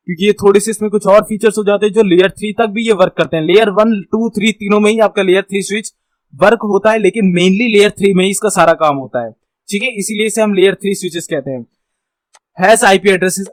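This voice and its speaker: A man's voice: high voice, no echo. An Indian man giving an informal tutorial, reading out points and then explaining them, often checking that the listener follows and mixing in English technical terms. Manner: explanatory, repeatedly checking understanding with tag phrases. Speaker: instructor, tech tutorial host.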